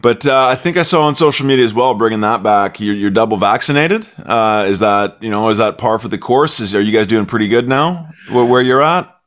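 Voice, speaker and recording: Low-voiced, informal male podcast host. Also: brisk, hardly any pauses, fairly bright tone, dry recording, clean studio sound. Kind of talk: casual conversational interviewing.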